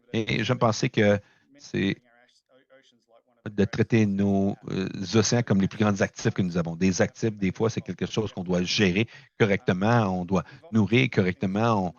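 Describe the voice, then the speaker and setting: A man giving a conference talk over a video call, halting, with many fillers, speaking French as a second language. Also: fairly low pitch, a little mellow, no room sound, highs cut off. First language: not French. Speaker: presenter at a launch event. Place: remote location, speaking in from afar.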